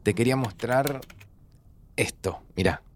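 An actor playing an organized, sceptical but caring young best friend with an Argentine accent, speaking informally with dry teasing in an audio drama. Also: male voice, mid-range voice, a little slow, a little hiss or hum, natural voice. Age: young adult. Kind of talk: naturalistic audio fiction dialogue.

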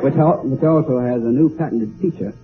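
A man's voice: mid-range voice, a little fast, a faint crowd, slight background noise. A man as a quiz contestant, giving hesitant, good-humored, wordy improvised sales patter and answers. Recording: tinny old radio broadcast, loud audience.

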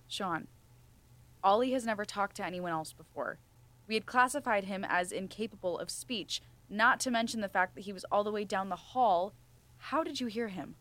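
A woman's voice: mid-range voice, fairly bright tone, lightly acted. Composed, authoritative woman scientist, acted. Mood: probing, calm. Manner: measured, formal interviewer. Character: composed, authoritative female research scientist, firm but gentle. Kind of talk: student audio drama acting.

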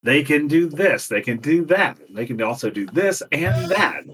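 A man in his forties co-hosting a podcast, chatting casually in an animated, theatrical way and laughing often. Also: fairly high pitch, unhurried, breathy edge, distant mic. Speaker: podcast co-host. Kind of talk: casual chat.

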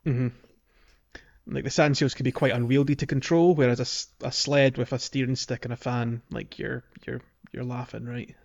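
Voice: medium pitch, fairly even intonation, normal speed, gravelly, darkish tone. A podcast co-host chatting casually about a video game, on a slightly dull microphone.

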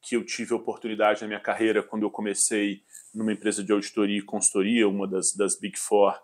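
Forties male executive, low voice, measured and hesitant interview answers. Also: little pitch movement. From southern Brazil. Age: 40s. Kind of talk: business interview.

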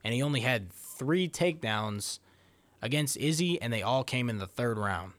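A man's voice: medium pitch, animated, moderate pace, fairly bright tone, no echo. Man mulling fight picks, dry sound. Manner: thinking aloud, hesitant, restarts often. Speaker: podcast co-host, fight analyst. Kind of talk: casual sports podcast breakdown.

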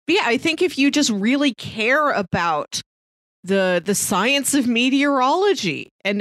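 A woman with a mid-pitched voice talks casually about film as a podcast co-host. The sound is dry, with very little room around her. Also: no room sound.

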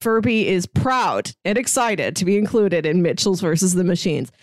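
A woman's voice: on the low side, animated, normal speed, no room sound, studio quality. A woman co-hosting a film podcast, chatting casually with wry, playful observations. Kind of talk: casual movie discussion.

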